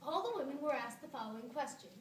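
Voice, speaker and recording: A woman stage performer reciting scripted lines live in short, deliberate phrases. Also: high-pitched, expressive, slight background noise. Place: stage in a hall. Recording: roomy, distant live theatre recording.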